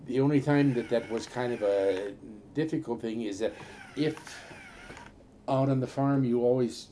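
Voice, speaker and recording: An older man from a Norwegian-speaking farm family in the upper Midwest, telling halting, rambling memories with many fillers in an oral history interview. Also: medium pitch, mellow, a little hiss or hum.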